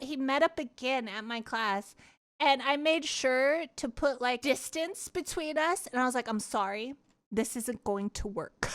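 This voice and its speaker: A woman livestreamer casually chatting with her viewers and telling personal stories in an animated, candid, giggly way, swearing freely. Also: sits high. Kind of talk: casual chat and personal storytelling.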